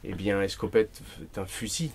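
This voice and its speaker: A man whose first language is Spanish, an author talking at a bookshop event, thoughtful and deliberate, often restarting his words. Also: lowish voice, breathy edge. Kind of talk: bookshop talk, reading and answering.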